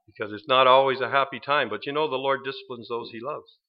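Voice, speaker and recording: A man's voice: medium pitch, fairly even intonation, brisk, lo-fi sound. A man giving an earnest, plain-spoken church talk about a ministry program, with touches of dry humor. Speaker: ministry program staff, discipline head.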